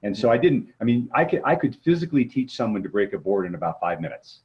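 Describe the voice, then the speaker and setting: A man's voice: lowish voice, big pitch swings, fast talker, muffled recording. A man speaking as an interview guest and motivational coach, explaining things conversationally and posing rhetorical questions. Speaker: guest, motivational coach and martial arts trainer.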